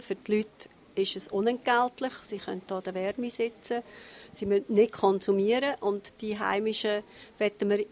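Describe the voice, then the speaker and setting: A woman's voice: mid-range voice, a little mellow. A woman with a Swiss German accent giving spontaneous interview answers as the director of a meeting place. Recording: interview with clear background noise.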